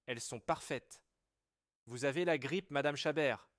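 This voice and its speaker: A man reading everyday French dialogues for learners, with clear, measured scripted delivery. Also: mid-range voice, a bit bright, no echo, studio quality, lightly acted.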